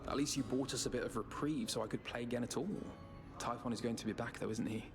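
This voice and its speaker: A man's voice: medium pitch, fairly even intonation, quick pace, close to an everyday voice. A man voicing a laid-back, affectionate young musician god in a video game, casual, candid and friendly. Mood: easygoing, grateful. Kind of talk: scripted video game dialogue. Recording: plucked string music behind.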